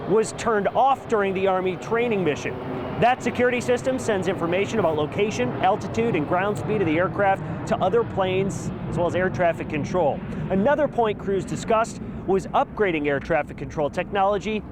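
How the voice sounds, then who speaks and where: A man's voice: high-pitched, brisk, background noise. A man giving a live news report as a field reporter, speaking outdoors. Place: outdoors near a river.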